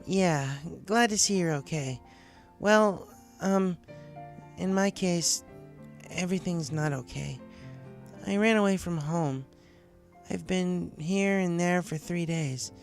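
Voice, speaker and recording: A man's voice: high voice, takes it slowly, vocal fry, soft background music, voice pushed up, heavily acted. A man voicing a brash, tomboyish young female pegasus pony with a casual, blunt way of talking in a story reading. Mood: awkward, troubled.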